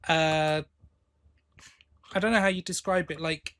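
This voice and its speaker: A man co-hosting a podcast, giving a casual review in a halting way, thinking aloud as he goes. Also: on the high side, steady tone, a bit bright. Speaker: podcast co-host.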